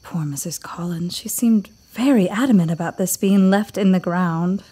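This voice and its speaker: An actress playing a prim, cautious housekeeper in an audio drama. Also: female voice, on the low side, moderate pace, warm tone, breathy edge.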